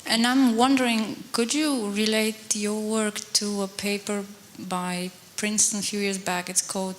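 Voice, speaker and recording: A woman's voice: mid-range voice, fairly even intonation, measured pace. A woman in a conference audience asks the presenter polite, direct questions. Faint room noise sits behind her. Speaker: audience member asking questions.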